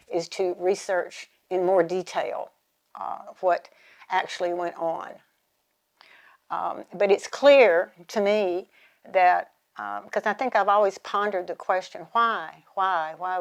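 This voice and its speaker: A woman's voice: fairly low pitch, clean tone. An American woman in her seventies or older, from the South, giving reflective personal testimony in articulate, formal wording. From southern United States. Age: 70s or older.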